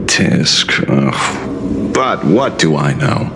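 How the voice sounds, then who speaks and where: An actor playing a sly, cynical older detective in a video game, with a theatrical, sardonic drawl, recorded with music underneath. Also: male voice, a bass voice, very animated, extremely slow, creaky, very noisy, exaggerated voice. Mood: mock humble. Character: sly, cynical old male detective and mercenary dealer.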